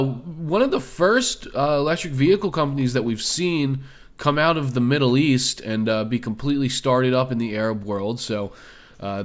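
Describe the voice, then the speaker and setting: A man hosting an investing video, his voice a little hoarse from a cold. He talks in a loose, easygoing way, leaning on fillers as he walks through the details. His pitch sits in an ordinary middle range for a man. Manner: loose, filler-heavy, conversational. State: slightly hoarse from illness. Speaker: stock video host. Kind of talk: casual screen-share commentary.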